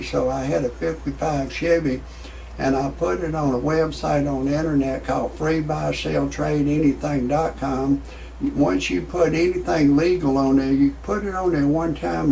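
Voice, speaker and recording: A man's voice: on the high side, creaky, a little mellow, a little hiss or hum, rough recording. A man in his sixties giving a folksy, rambling amateur comedy monologue to his online audience. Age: 60s. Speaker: host of own online comedy show. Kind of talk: amateur stand-up style monologue with commentary.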